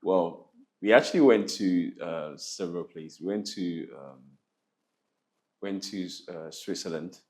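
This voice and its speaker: A man in his thirties from Ghana answers questions about his childhood, pausing often and filling gaps with "um" and "like." His voice sits very low. He laughs easily between answers. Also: darkish tone. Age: 30s. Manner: hesitant, many fillers, laughs often. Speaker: interview guest. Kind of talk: casual life-story interview.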